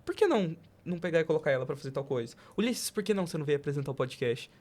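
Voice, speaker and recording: A man's voice: fairly high pitch, expressive, rapid-fire, a little mellow. A Brazilian man hosting a casual motivational podcast, speaking emphatically with rhetorical questions and acted-out quotes. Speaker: podcast host.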